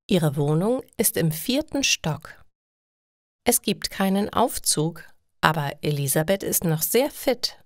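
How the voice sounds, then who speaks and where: A woman reading scripted stories for language learners in short, deliberately separated sentences. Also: fairly low pitch, animated, no room sound, studio quality. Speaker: narrator of German learning stories. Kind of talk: slow scripted story narration for language learners.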